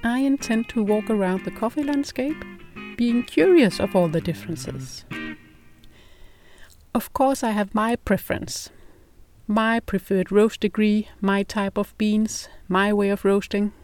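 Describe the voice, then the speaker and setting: A middle-aged woman from Denmark, speaking English with a Danish accent as a non-native speaker, hosting a podcast in a calm, measured, scripted narration. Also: medium pitch, faint music behind. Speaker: podcast host.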